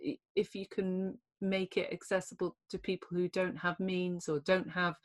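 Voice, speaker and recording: A woman's voice: fairly low pitch, little pitch movement, normal speed. A middle-aged woman hosting a casual podcast chat, thoughtful and easy to laugh, often restarting her sentences. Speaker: podcast host. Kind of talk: casual conversation.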